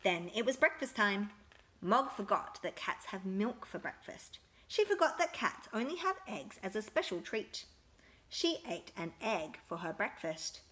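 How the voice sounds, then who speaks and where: A woman reading a children's picture book aloud with expressive, emphatic storytelling. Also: mid-range voice, measured pace.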